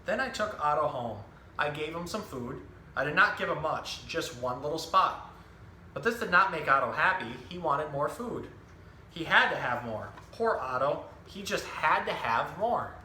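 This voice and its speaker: A man's voice: a little high, expressive, normal speed, pushed and bright. A man hosting an educational animal storytime, explaining and reading simply for children. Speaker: zookeeper and storytime host. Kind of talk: casual animal education talk and children's picture book reading. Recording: roomy echo with some background noise.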